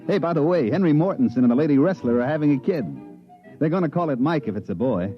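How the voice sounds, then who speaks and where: Actor as a wry huckster narrator, muffled old radio. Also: male voice, fairly high pitch, animated, fast, close to an everyday voice. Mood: wry, satisfied. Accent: American. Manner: colloquial, storytelling. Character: wry, fast-talking male huckster telling his own story. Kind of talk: 1950s American radio drama acting, first-person narration. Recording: muffled 1950s radio recording, music.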